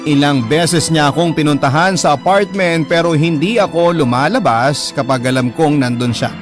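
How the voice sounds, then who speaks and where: A man's voice: a little high, normal speed. A man with a Filipino accent reading a first-person letter as dramatic narration. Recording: clear music bed under narration.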